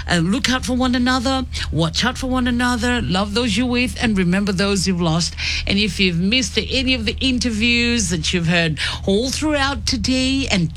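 A woman's voice: mid-range voice, a little hiss or hum. Upbeat woman radio host. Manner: upbeat, chatty, laughs easily. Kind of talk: casual radio chat. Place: radio studio.

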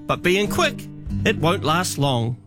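A man's voice: sing-song, slow pace, loud music behind the voice. A male voice-over giving an upbeat, friendly flooring advertisement. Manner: upbeat, friendly sales pitch.

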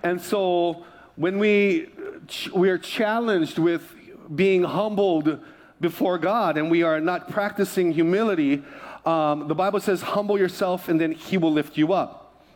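A man's voice: high-pitched, unhurried. A man from Hawaii preaching a sermon as a pastor, repeating key phrases for emphasis and calling for response. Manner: emphatic repetition, calls for congregational response.

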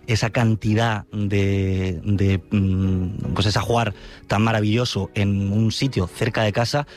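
A man's voice: lowish voice, level tone, slow pace, a little mellow. A man with an accent from Spain, hosting a radio programme in a conversational way. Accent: Spanish from Spain. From Yecla, Murcia region, Spain. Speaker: radio host.

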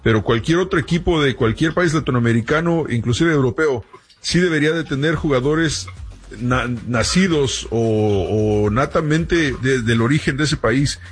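A man's voice: mid-range voice, moderate pace, slightly rough. A man hosting a conversational sports radio interview, putting long, deferential questions to his guest. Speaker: radio host.

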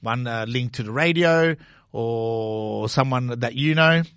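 A man's voice: mid-range voice, slow pace, fairly bright tone, smooth, dry recording, studio quality. A man of forty chatting casually as a radio host, good-humoured and playful, switching between English and Italian.

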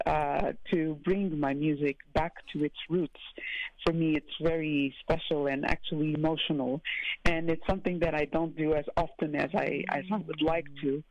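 Woman from Portugal, guest musician; gravelly voice, halting chat, dull dry remote line. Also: low voice, no room sound. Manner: halting, many fillers and restarts, laughs often. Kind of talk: casual interview.

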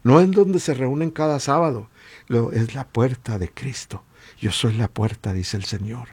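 A man with a Latin American Spanish accent and a husky voice, preaching informally and emphatically with repeated rhetorical questions. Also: medium pitch, unhurried, a little mellow. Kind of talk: informal exhortation sermon.

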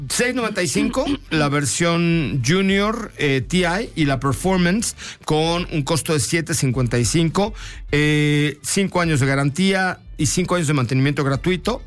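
Mexican man, fairly high voice, quiet music underneath, joking car host, enthusiastic. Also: expressive, measured pace. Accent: Mexican Spanish. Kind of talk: casual radio car talk.

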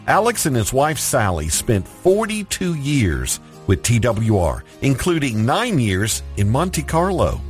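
A man's voice: medium pitch, huge pitch swings, a little slow, few pauses, soft background music. A man hosting a scripted radio feature, introducing the guest and reacting to him with enthusiasm. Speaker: radio program host.

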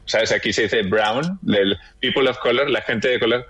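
A man's voice: mid-range voice, normal speed, a bit bright, slightly rough, no room sound, dull audio. A man with Colombian roots, a guest talking reflectively on a radio show. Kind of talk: casual chat.

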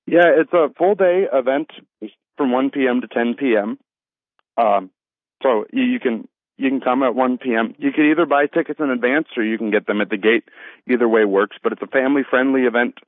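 A man's voice: medium pitch, fairly quick, no room sound. A man speaking over the telephone as an interview guest, earnest and polite in a conversational style. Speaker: phone-in guest, festival organiser. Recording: thin, narrow phone line.

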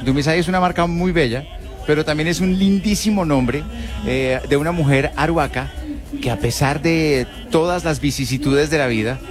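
Male radio host, lively banter, music bed audible. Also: a little high, normal speed. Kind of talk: live radio chat.

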